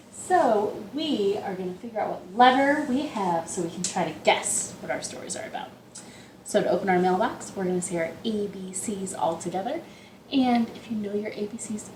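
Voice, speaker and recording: A woman's voice: medium pitch, roomy sound, a little hiss or hum, rough recording. A woman hosting an interactive storytime for young children, chatty and playful, with rambling asides. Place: living room at home.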